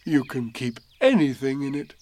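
A man's voice: medium pitch, big pitch swings, moderate pace, a little mellow, natural voice. A man voicing a humble, childlike bear in a children's story, speaking simply and earnestly.

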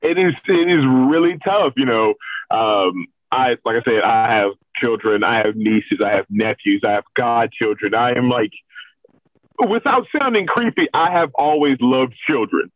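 A man's voice: medium pitch, animated, moderate pace, no echo. American man on a thin phone-like line. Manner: candid, self-deprecating humour, chuckles often. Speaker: host of a children's story reading show, being interviewed. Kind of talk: casual conversational interview. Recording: thin, phone-like call audio.

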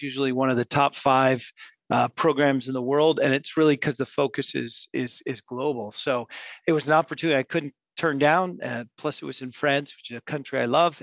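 Thoughtful man, bright clear voice. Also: on the high side, no room sound. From West Coast of the US. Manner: thoughtful, frequent fillers and restarts, easy laughs. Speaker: guest author and business professor. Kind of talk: casual interview talk.

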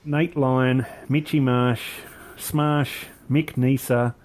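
A man's voice: medium pitch, steady tone, drawn-out words, a little mellow. An Australian man hosting a cricket podcast, joking with his mates and rattling off mock introductions and lists. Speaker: podcast host. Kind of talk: casual banter with mates. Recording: online call audio.

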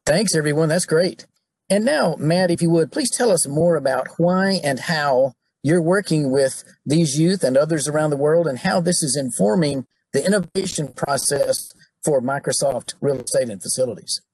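A man's voice: on the high side, normal speed, darkish tone, husky, dry recording, low-quality recording. A man hosting a podcast, giving friendly welcoming introductions. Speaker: podcast host.

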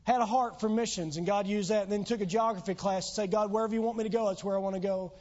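A man preaches from the pulpit with a high, strained voice that is going hoarse. He drives home his points with rising, earnest appeals. The recording is dull and lo-fi. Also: fairly even intonation, quick pace, darkish tone. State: losing his voice. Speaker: pastor. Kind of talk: sermon. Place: church sanctuary.

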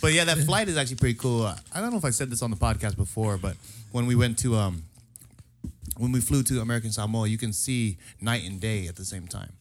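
A man's voice: mid-range voice, fairly quick, slight background noise. A young man hosting a casual podcast, laid-back, laughing often and swearing casually. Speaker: podcast host. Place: small studio bar set.

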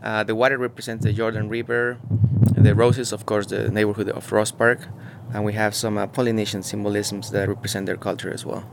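A man with a fairly low voice, speaking haltingly as he searches for his words. He is recorded outdoors on a field recorder, with faint traffic in the background. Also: level tone, gravelly. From Mexico. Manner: halting, searching for words, many fillers and restarts. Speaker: muralist interviewee. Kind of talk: casual on-site interview. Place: outdoors beside a mural.

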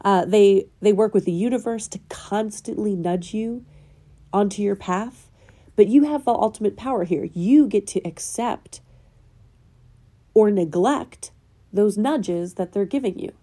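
Nasal-sounding woman with a dark mellow voice. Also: mid-range voice, measured pace. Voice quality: slightly nasal. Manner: earnest, probing rhetorical questions. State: getting over a cold. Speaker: podcast host. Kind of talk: spiritual self-help monologue.

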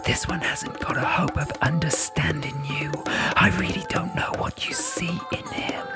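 A reader voicing an eerie, ancient female rock being in a slow, breathy, unvoiced whisper, solemn and disdainful, for an audiobook story. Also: medium pitch, wildly swooping pitch, loud music behind the voice, pitched above the performer's own voice. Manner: slow, solemn, drawn-out delivery.

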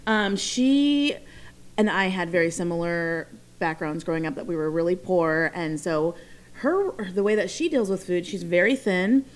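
A woman's voice: on the low side, normal speed. A woman co-hosting a casual talk show, bubbly, effusive and playful. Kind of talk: casual chat.

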